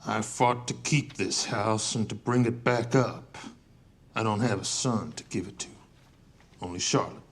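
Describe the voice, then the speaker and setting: Gruff, possessive patriarch. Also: male voice, lowish voice, unhurried. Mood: bitter, stern. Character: gruff, possessive Southern patriarch read by an actor. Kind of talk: script table read within a drama.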